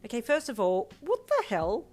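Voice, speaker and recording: A woman voicing a blunt, protective, exasperated sister in a story, scolding loudly. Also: high voice, sing-song, fairly quick, soft background music, voice pushed up. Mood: exasperated, bossy.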